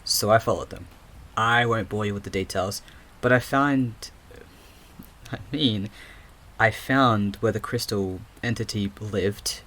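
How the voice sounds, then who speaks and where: A man acting in an audio drama as a troubled, awkward young man with lost memories, speaking hesitantly and haltingly. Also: fairly low pitch, a bit bright. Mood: reflective.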